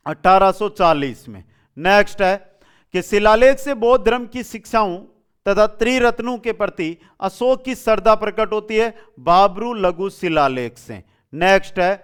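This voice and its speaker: A man's voice: high voice, moderate pace, clean tone. A man teaching a live quiz lesson, reading questions and answers aloud, repeating them and prodding students to respond. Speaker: online exam-coaching teacher.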